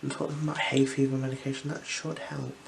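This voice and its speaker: A gentle, unhurried ASMR performer speaking softly and slipping into whispers while role-playing an eye examiner. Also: medium pitch, level tone. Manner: gentle, unhurried, soothing instructions. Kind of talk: ASMR role-play, soft-spoken with whispers. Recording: clear background noise.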